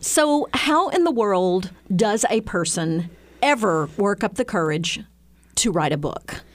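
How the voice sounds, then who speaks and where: A woman's voice: medium pitch, takes it slowly, no echo. A woman from Mississippi, hosting an interview show and gushing over her guest. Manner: gushing, effusive, excitable. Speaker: host. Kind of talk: casual interview chat.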